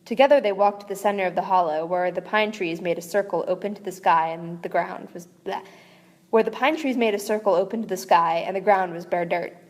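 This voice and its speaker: A woman's voice: lowish voice, brisk, big-room sound. A woman reading a novel aloud as an amateur, chatty and casual, with fillers and asides. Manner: chatty, with frequent fillers and rereads. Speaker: amateur audiobook reader.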